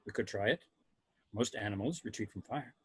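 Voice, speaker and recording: Man playing starship science officer, analytical, measured, lowish pitch, husky, dry sound, table read. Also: dry recording. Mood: clinical. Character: detached, analytical male science officer on spaceship. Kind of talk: amateur table read of a film script.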